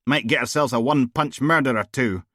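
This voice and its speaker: A man voicing a brash, crude middle-aged woman who runs a police team, loud and mocking. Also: mid-range voice, brisk, dry recording, studio quality, pitched above the performer's own voice, natural voice. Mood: scornful.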